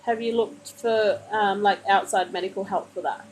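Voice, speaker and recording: A woman's voice: medium pitch, fairly even intonation, moderate pace, faint music behind, rough recording. A woman reading tarot cards for a viewer during a live stream, soothing and meandering, calling the listener honey, speaking into a phone mic. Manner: meandering, many fillers and restarts. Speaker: tarot card reader.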